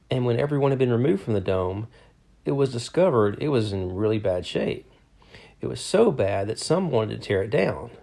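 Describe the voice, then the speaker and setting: A man co-hosting a podcast reads a scripted history in a steady, measured way. His voice is gravelly and sits in a middle pitch. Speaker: podcast co-host. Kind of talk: scripted narration.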